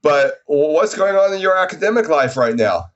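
A man's voice: fairly high pitch, expressive, normal speed, lo-fi sound. A man hosting a podcast while fighting a cold, friendly and stumbling over his words a little. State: has a cold. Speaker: podcast host.

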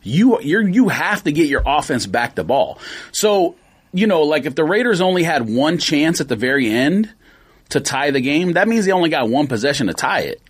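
A man co-hosting a podcast, debating football fast and insistently in casual talk. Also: fairly high pitch, slightly rough. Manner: animated, argues fast with stats. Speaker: podcast co-host.

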